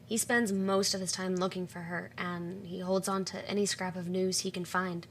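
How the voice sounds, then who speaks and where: An actress playing a considerate, protective woman, a loyal friend speaking gently and carefully in an audio drama. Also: lowish voice, little pitch movement, moderate pace, slight background noise, lightly acted.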